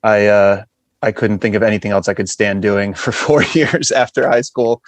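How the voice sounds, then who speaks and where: A man's voice: lowish voice, fairly even intonation, moderate pace, no room sound. An American man from western Massachusetts chatting casually as a podcast guest. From western Massachusetts, USA. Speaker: podcast guest, punk band musician. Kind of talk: casual chat.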